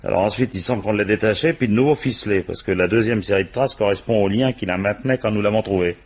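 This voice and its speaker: An actor playing a brusque, authoritative French police boss in a 1950s-style radio mystery, with curt colloquial phrasing. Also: male voice, lowish voice, fast talker, a little mellow. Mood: pondering. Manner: curt, authoritative, colloquial, frequent "bon" and "hein".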